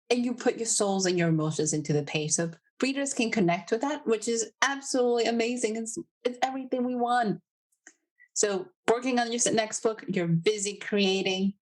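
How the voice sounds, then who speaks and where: Podcast host: woman, effusive and encouraging interviewer. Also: medium pitch.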